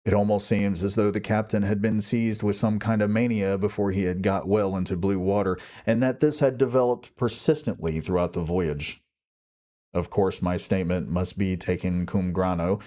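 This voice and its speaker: Man, audiobook reader, classic fiction narration, lowish pitch, soft dark timbre. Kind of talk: scripted narration of a classic novel.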